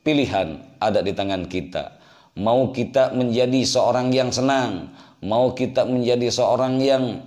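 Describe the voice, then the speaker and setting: A man's voice: on the low side, a little mellow. Man preaching, repetitive and didactic. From West Java, Indonesia. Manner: didactic, repeats phrases with rhetorical questions. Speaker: Islamic preacher. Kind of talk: religious sermon to a congregation.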